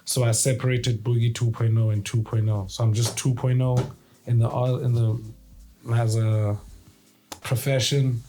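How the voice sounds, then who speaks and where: Slow easygoing guy from south africa, dark mellow voice, chatting about his music. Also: medium pitch, flat delivery. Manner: laid-back, unhurried, chuckles between answers. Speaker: guest, producer and rapper. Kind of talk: relaxed interview answers.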